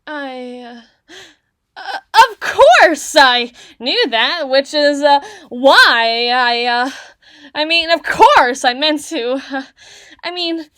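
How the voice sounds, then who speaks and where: A woman acts out a socially awkward, bookish tsundere girl in her young adulthood for an ASMR roleplay. Her voice sits fairly high and her phrasing is wordy and halting, easily flustered. The sound is very dry and close, with no room echo. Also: expressive, pushed and bright, heavily acted. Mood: flustered, stammering. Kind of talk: ASMR roleplay, acted monologue to the listener.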